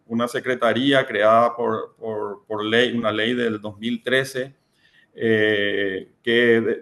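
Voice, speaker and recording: A man's voice: mid-range voice, a bit bright. A man giving a conference presentation as a panelist, hesitant and full of fillers and repeated words. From Paraguay.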